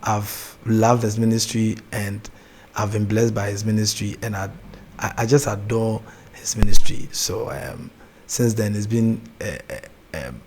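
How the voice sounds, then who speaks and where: A young man with a Ghanaian accent speaks in a lowish, soft-spoken voice, pausing and restarting his sentences as he chats with an interviewer. A faint ambient hiss sits underneath. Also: fairly even intonation. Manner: soft-spoken, laughs easily, hesitant restarts. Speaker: gospel artist guest. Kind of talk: casual interview chat.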